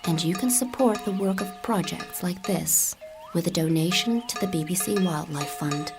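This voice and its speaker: A woman from the Netherlands, a native Dutch speaker, reading scripted voice-reel narration in an American accent with a breathy voice. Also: on the low side, normal speed, music in the background. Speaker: voice actor.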